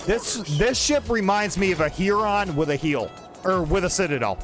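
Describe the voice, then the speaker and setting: A man's voice: high-pitched, animated, moderate pace, faint music behind. A man in his forties casually commenting on his own gameplay, rambling and joking with a friend over a desk mic. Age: 40s. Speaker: gaming channel host.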